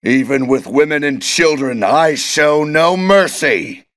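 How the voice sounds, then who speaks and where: A man's voice: on the high side, slow, a bit bright, no room sound, studio quality. A man playing a bitter, obsessed wizard villain in a video game, theatrical and menacing. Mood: bitter, ruthless.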